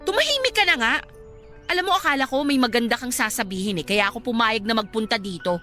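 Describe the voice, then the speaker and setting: A smug, cold-hearted Filipino rival in a radio drama. Her high voice swings widely as she taunts and snaps dismissively, over a music bed. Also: lively intonation, fast, bright, forceful tone. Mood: dismissive, impatient. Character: smug, cold-hearted young married woman, a scornful rival.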